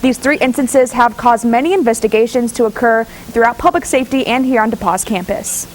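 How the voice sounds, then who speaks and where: Higher-pitched woman reporting the news. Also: a little fast, a little hiss or hum. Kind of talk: live studio news report.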